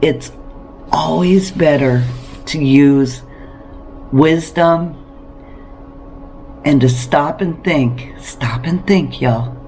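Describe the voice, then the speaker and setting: A woman talking casually to camera about practical living as a homesteading vlogger, encouraging and emphatic, with deliberate pauses. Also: sits low, darkish tone, soft background music, low-quality recording. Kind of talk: casual preparedness talk to camera.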